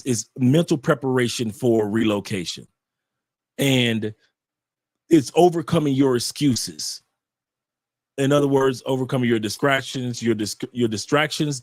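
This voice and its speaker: Animated man telling stories, dry sound. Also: medium pitch, no room sound. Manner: animated storyteller, repeats phrases, frequent restarts. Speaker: guest, YouTube channel creator and author. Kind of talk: casual conversational interview. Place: home in Colombia.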